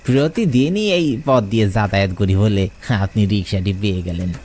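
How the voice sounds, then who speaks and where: A man's voice: fairly low pitch, normal speed, darkish tone, close to an everyday voice. A man voicing a superstitious village rickshaw puller who speaks respectfully and pleads urgently. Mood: calm.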